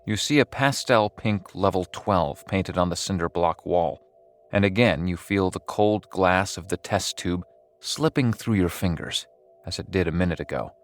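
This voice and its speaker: Male narrator for horror audio drama: low register, wry and darkly comic storyteller, music bed underneath. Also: a bit bright. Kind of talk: scripted second-person horror-comedy narration.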